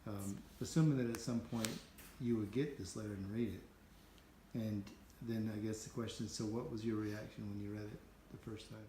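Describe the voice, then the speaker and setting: Man, slightly low voice, echoey room. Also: steady tone, moderate pace, hardly any pauses, dark tone. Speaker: interviewer. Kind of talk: casual reflective conversation. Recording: roomy echo, faint background noise.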